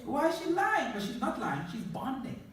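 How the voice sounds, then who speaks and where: A man's voice: extremely high pitch, normal speed, slight background noise. A man with a Trinidadian accent gives a humorous talk to a live audience, laughing often and telling stories with lots of animation. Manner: laughs often, animated storytelling, asks the crowd. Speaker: motivational speaker. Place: large hall in front of an audience. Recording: echoey hall, voice from far off.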